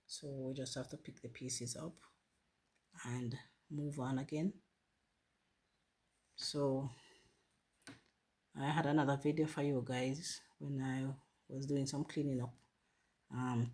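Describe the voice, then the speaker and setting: Jamaican woman, hoarse with a flu, husky and unhurried, chatty gardening host thanking her viewers. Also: very deep voice. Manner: warm, grateful, addresses viewers as guys. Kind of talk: casual chat to viewers while planting. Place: home garden.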